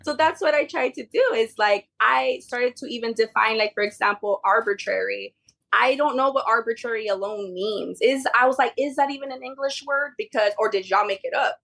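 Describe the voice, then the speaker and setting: A woman's voice: fairly high pitch, moderate pace, vocal fry, a bit bright. A woman whose first language is Spanish, chatting informally as a podcast guest about her work. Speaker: podcast guest, behavior therapy tutor. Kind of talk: casual conversational interview.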